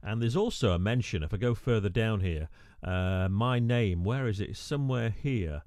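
A man's voice: lowish voice, moderate pace, a little mellow, dry recording, studio quality. A male radio presenter talking through a screen-recorded tutorial, chatty with wry asides and frequent "uh" fillers.

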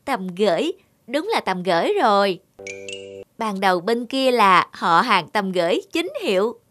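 A woman voicing an earnest schoolchild in an animated cartoon, speaking expressively. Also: medium pitch, a little slow. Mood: teasing. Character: earnest schoolchildren, classmates who tease and make up. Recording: music bed under the voice. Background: background music.

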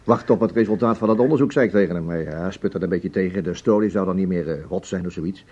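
An actor playing a pompous, evasive executive with a hemming, affected manner in a Dutch radio play. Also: male voice, low-pitched, fast talker, a little mellow, breathy edge. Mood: smug. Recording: dull, muffled radio recording.

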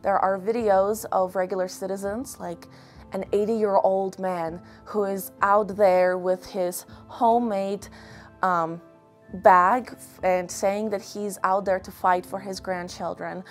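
Young woman from Ukraine, earnest interview guest, measured and pausing, mid-pitched voice, music in the background. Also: steady tone. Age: young adult. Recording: music behind the voice.

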